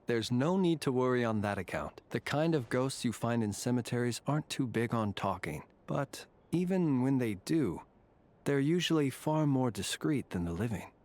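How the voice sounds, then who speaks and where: A man voicing a courteous, eccentric graveyard warden in a video game, speaking in a formal, measured, genteel way. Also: medium pitch, animated, darkish tone, natural voice. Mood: wry, courteous. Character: courteous, eccentric gentleman graveyard warden, calm and faintly eerie. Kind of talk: video game character dialogue.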